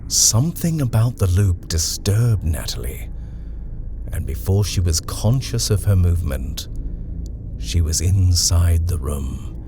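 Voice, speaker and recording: A man reading a horror story aloud in a measured, expressive narration. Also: low voice, noisy background. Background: music.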